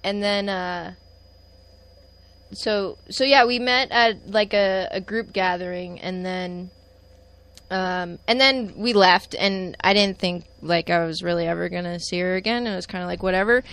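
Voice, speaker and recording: A woman's voice: on the low side, measured pace, fairly bright tone, clear, resonant tone. A woman in her twenties chattily recounting how she met her partner, in a casual conversation. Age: 20s. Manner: chatty storyteller, many fillers. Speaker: guest.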